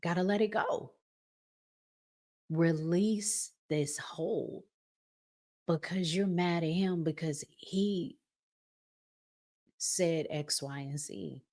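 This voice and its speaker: A woman's voice: lowish voice, extremely slow, long pauses, mellow. A middle-aged woman giving conversational relationship advice as a vlog host, emphatic, repeating phrases and asking rhetorical questions.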